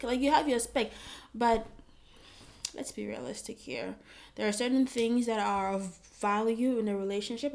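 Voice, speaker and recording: A woman from Ghana co-hosting a casual advice chat, animated and teasing, laughing often. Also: medium pitch. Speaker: co-host.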